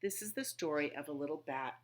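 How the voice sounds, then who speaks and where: A woman hosting a library storytime for young children, speaking in simple phrases with questions and pauses as she chats and reads aloud. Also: low voice, animated, a little hiss or hum. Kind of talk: children's storytime with songs, rhymes and read-aloud.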